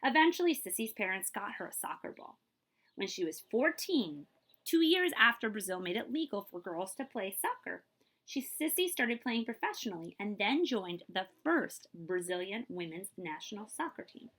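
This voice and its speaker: Female read-aloud storyteller, highly animated pitch swings, emphatic delivery for a children's picture book. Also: moderate pace. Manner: expressive, emphatic storytelling with brief asides. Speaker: librarian reading a book aloud.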